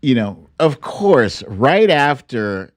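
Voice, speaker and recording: A man's voice: mid-range voice, very animated, takes it slowly. A man hosting a live political commentary stream, speaking casually and bluntly with salty slang and theatrical, opinionated delivery. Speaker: host of a political commentary livestream.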